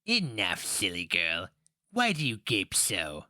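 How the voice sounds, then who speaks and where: A man voicing a canny old matriarch, husky, scolding and mocking, in a fantasy story reading. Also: sits low, wildly swooping pitch, slow pace, bright, forceful tone, no echo, heavily acted. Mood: scornful. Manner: scolding, rueful, teasing.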